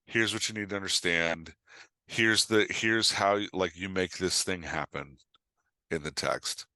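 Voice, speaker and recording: A man's voice: sits low, unhurried, bright, forceful tone, dry recording, low-quality recording. A middle-aged man speaking as an author and writing coach, explaining and telling stories conversationally with an emphatic, opinionated manner. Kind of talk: animated conversational explanation and storytelling.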